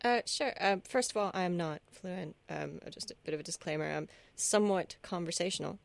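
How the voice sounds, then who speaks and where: Low-voiced woman chatting on a podcast. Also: fairly quick, vocal fry, no room sound. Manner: self-deprecating, hesitant with fillers. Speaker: podcast panelist. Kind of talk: casual podcast chat.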